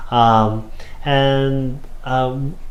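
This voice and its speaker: A man in his thirties from South Korea, speaking English with a Korean accent as an interview guest, halting with many fillers and restarts. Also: medium pitch, fairly even intonation, highs cut off. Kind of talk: casual interview answers. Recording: roomy echo.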